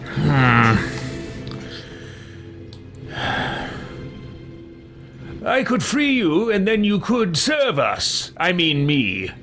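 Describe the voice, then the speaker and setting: A cold and arrogant adventurer, played by a man, speaks slowly in a fairly high male voice. He is haughty and theatrical, as in a fantasy game roleplay, with faint music behind. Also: wildly swooping pitch, a bit bright, heavily acted. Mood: cold disdain. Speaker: video game player voicing his own character. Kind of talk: in-character roleplay commentary.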